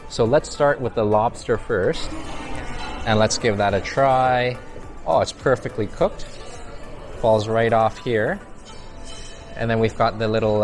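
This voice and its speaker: Man reviewing food, music behind. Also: on the low side. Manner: appreciative, exclaiming over food. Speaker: food vlogger, host. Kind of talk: casual food review to camera. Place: large busy buffet restaurant, indoors. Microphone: clip-on lapel mic. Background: background music.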